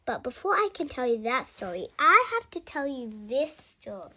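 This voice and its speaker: High-pitched, animated young child reading aloud. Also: normal speed. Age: 7 years old. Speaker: young reader.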